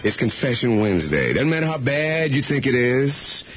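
Brash, animated male morning radio host. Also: mid-range voice, normal speed, a little hiss or hum. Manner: joking, teasing, brash. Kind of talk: lively banter and patter on a radio morning show. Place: radio studio. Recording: dull lo-fi radio aircheck, music behind. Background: music.

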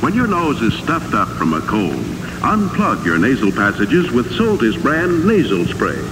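A man's voice: mid-range voice, wildly swooping pitch, unhurried. A man reading a scripted 1960s radio commercial as an announcer, with an emphatic salesman's delivery. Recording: echoey, noisy 1960 AM radio recording.

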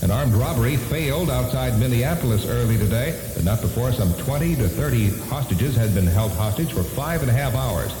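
Male news anchor for vintage AM bulletin: rapid scripted read, heavy echo. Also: medium pitch, expressive. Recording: hissy 1970s AM radio, reverberant.

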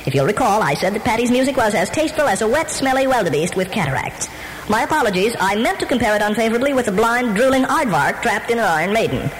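A woman with a fairly high voice delivers a comedy piece in a dry, mock-serious tone, her pitch moving widely. The old radio recording is reverberant, with a faint hiss behind her. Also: big pitch swings, quick pace. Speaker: radio comedy feature reader. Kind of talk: deadpan scripted comedy bit.

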